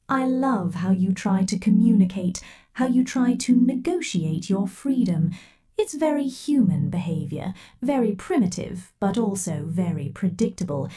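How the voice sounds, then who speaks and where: A woman speaks slowly and softly in an ASMR roleplay, playing a possessive, controlling scientist who talks straight to the listener. Her voice is mellow and fairly high, and its pitch moves a lot. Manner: soft, slow, menacing and possessive.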